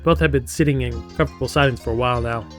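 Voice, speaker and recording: A man's voice: medium pitch, racing, faint music behind. A man hosting a gaming live stream, playfully reading story text aloud and breaking off into theatrical, jokey asides.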